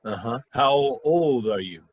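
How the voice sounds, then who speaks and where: An actor playing a gruff, skeptical club boss with a curt, dismissive, wisecracking manner in a radio play. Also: male voice, medium pitch, animated, slow pace, no room sound, clean studio sound.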